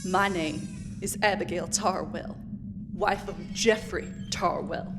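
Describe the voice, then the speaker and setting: A grieving widow of the old west , acted by a woman, set on revenge. She speaks slowly and deliberately with quiet menace, as in an audio drama, with prominent music behind her. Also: on the low side, steady tone. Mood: cold, resolute. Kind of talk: western audio drama acting.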